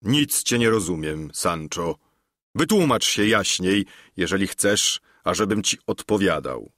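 A man voicing a deluded, solemn old knight-errant who speaks in a grave, lofty, measured way. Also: low voice, animated, fairly bright tone, dry recording, clean studio sound, heavily acted.

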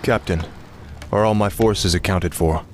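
Game warrior prince: resolute, clipped, lower-pitched, commanding. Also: male voice, unhurried, slight background noise. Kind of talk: video game cutscene acting.

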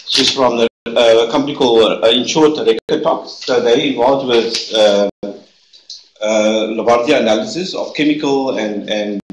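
A man chairing a seminar, introducing the guest speaker in a halting way with many pauses. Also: medium pitch. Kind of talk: hesitant formal introduction. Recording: echoey room with faint noise.

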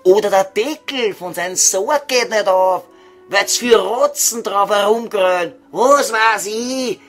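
A man's voice: high-pitched, slow pace, a bit bright, faint music behind. A man playing a cheeky, foul-mouthed estate agent in a comedy audio sketch, talking colloquially with a Viennese accent. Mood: grumbling. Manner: crude, wheedling, colloquial.

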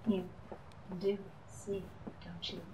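A woman's voice: mid-range voice, little pitch movement, takes it slowly, a little mellow, close to an everyday voice. A woman playing a cool, teasing, evasive femme fatale in a noir radio drama. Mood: sardonic. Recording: roomy, distant mic, faint noise.

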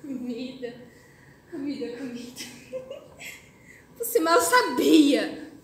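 A very high, slow female voice with a Brazilian accent carries a theatrical monologue. a traumatized, unstable asylum patient, bitter and haunted, with dramatic pauses. It is heard from far off in a very echoey room. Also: sing-song, exaggerated voice. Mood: bitter, unsettled. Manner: halting, singsong to frantic, dramatic pauses. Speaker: stage actress. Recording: echoey room, distant mic, faint noise.

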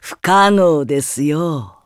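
An actor playing a smug demon schemer in an anime game, with a sneering, theatrical delivery. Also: male voice, a little high, animated, takes it slowly, clear, resonant tone, dry recording, clean studio sound. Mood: smug mockery. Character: smug, mockingly polite male demon schemer.

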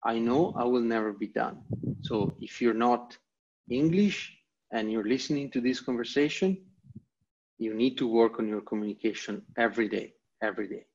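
A man's voice: mid-range voice, normal speed, darkish tone, slight background noise. An Italian man with an Italian accent presenting a motivational online lecture, speaking in emphatic, repeated short phrases over a video call. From Italy. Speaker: guest presenter. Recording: dull, lo-fi video call audio.

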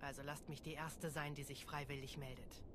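A woman's voice: low-pitched, flat delivery, a little fast, lightly acted. A woman playing a determined Jedi warrior in a game cutscene, earnest and composed. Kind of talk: dramatic game cutscene acting. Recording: game ambience and noise behind voice.

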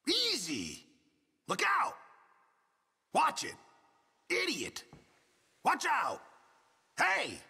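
An actor playing a gruff, fretful middle-aged camp cook in a Western video game, exasperated and husky. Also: male voice, very high voice, drawn-out words, long pauses, in a large hall, heavily acted. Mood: alarmed. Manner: exasperated, excitable, swinging from mutters to shouts. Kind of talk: video game ambient character barks.

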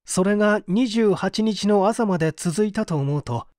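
An actor playing a weary, fatalistic murder suspect giving a dramatic confession in a mystery audio drama. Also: male voice, high voice, moderate pace, a little mellow, dry recording, clean studio sound, lightly acted. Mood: anguished, resigned. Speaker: voice actor reading a story.

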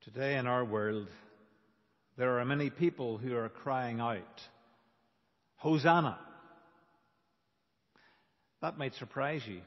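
A man preaching a sermon as a pastor, in a deliberate, emphatic manner with dramatic pauses. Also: mid-range voice. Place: large reverberant church. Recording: dull, reverberant church hall recording.

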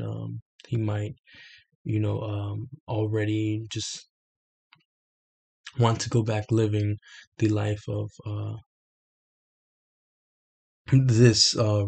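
Brief: young man, dark mellow tone with gravel, halting unscripted explainer, webcam in a small bedroom. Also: fairly low pitch. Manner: hesitant, many fillers and restarts. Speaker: self-made explainer. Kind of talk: unscripted explanation over a screen share. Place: small bedroom with red lighting, indoors. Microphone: webcam at desk.

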